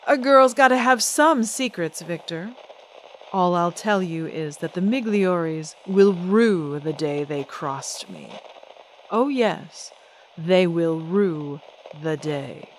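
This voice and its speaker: Actress as a low-voiced, poised starlet. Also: female voice, expressive, slow pace, a little hiss or hum, poor audio. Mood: coolly menacing. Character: poised, vengeful female singing celebrity, coolly defiant. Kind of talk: old-time radio drama acting.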